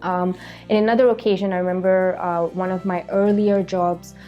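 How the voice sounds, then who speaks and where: A woman's voice: lowish voice, little pitch movement, moderate pace, highs cut off, faint music behind. A woman in her thirties from Malaysia, a company founder speaking reflectively in a documentary interview, with frequent "you know" fillers.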